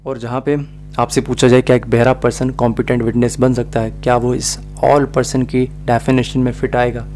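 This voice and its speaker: A man's voice: medium pitch, level tone, normal speed, a little mellow, faint music behind. A man explaining a law article as a video lecturer, switching between English and his own language as he teaches. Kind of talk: lecture over animated slides.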